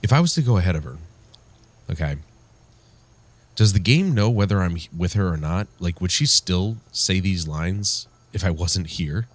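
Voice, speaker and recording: A man hosting a casual gameplay commentary, rambling and wryly griping, his voice congested and strained from yelling. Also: deep voice, lively intonation, moderate pace. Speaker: gaming show host. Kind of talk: casual let's play commentary. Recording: clear background noise.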